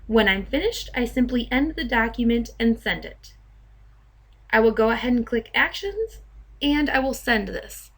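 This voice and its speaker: A woman software trainer narrating a step-by-step demo in a measured, instructional way. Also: fairly high pitch, lively intonation.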